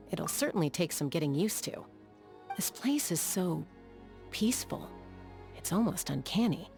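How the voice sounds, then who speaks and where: Game role: battle-hardened young female sky gunslinger. Lower-than-average pitch, calm, matter-of-fact delivery over background music. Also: gravelly. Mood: cool, appraising, then calm, reflective. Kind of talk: video game character voice lines.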